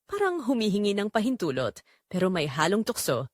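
A woman reading a scripted first-person audio drama in a dramatic, measured way with short pauses. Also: medium pitch, wildly swooping pitch, dry recording, studio quality. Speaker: narrator.

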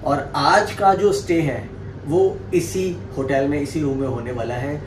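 A man's voice: on the high side, a little slow. Young male travel vlogger, casual and chatty, talks straight to camera over road noise. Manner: chatty, addresses viewers directly. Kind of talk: casual vlog narration. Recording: noisy road-trip background.

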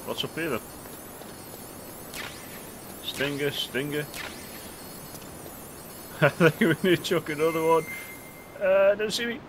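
A man's voice: a little high, slow, pauses often, noisy background. A man hosting a gaming channel, chatting and exclaiming as he comments on his gameplay. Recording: game music behind voice.

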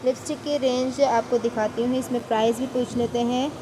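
A woman's voice: a little high, fairly even intonation, a little fast. A woman with a Pakistani Urdu accent touring market stalls on camera, chatty and enthusiastic, asking shopkeepers about prices. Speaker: shopping vlogger. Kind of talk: market tour vlog. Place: busy indoor market shops. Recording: market noise behind voice.

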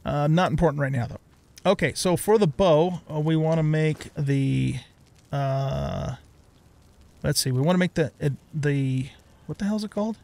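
Middle-aged man with a creaky, higher-set voice. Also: moderate pace, a little mellow. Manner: rambling, thinking aloud. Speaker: let's play host. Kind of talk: casual gameplay commentary.

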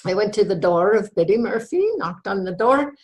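A woman's voice: medium pitch, expressive, normal speed, darkish tone, close to an everyday voice. A woman voicing a stubborn, sullen Irish wife in a comic story, curt and scornful, with an affected brogue. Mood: indignant.

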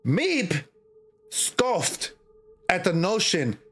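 A middle-aged man hosting a livestream, chatting casually and reading a horror story in a drawn-out, theatrical way with pauses. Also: high voice, wildly swooping pitch, fairly bright tone, breathy edge. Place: indoor streaming setup. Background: music.

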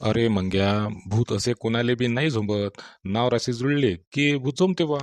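A man voicing a calm, knowing old village farmer with a rural Vidarbha Marathi accent in cartoon storytelling. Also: mid-range voice, moderate pace, dry recording, lightly acted. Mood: explaining.

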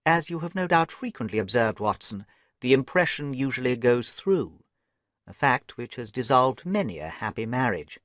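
A man voicing a keen, brisk detective who asks crisp, probing questions. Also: mid-range voice, sing-song, fairly bright tone, no echo, clean studio sound. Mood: pleased.

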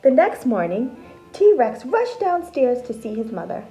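Lady doing a kids read aloud, high lively voice, teachery, bit of music behind. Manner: animated, deliberate, explains terms simply. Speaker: teacher reading a picture book aloud. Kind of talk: expressive children's read-aloud storytelling. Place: small decorated room at home, indoors.

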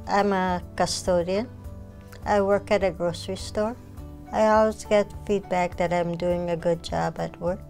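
Guyanese-accented woman, 40s, unhurried interview testimonial, faint music underneath. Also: lowish voice, fairly even intonation, vocal fry. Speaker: client sharing personal story. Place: small furnished living room indoors. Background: soft music.

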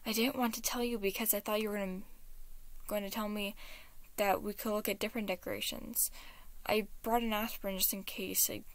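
A woman voicing a gentle, anxious fatherly man who speaks softly and haltingly. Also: medium pitch, level tone, no room sound, natural voice. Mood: apologetic.

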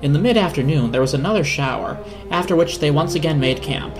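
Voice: medium pitch, expressive, fairly quick, over music. A narrator giving a dramatic reading of a storybook. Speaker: narrator, reader.

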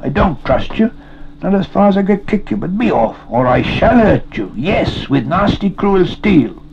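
A man voicing a loyal, protective male servant in an audiobook, blunt and rough-spoken with urgent exclamations. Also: on the low side, measured pace. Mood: disgusted anger. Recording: dull lo-fi audio, clear noise.

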